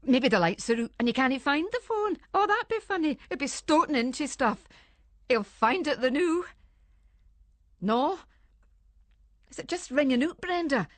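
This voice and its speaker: A woman's voice: high-pitched, expressive, a little slow, pauses often, dry recording. An anxious Scottish housewife chatters away in broad, homely dialect. She rambles nervously in the manner of a radio play monologue. The sound is dry and close, with no room around her. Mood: restless, fretting.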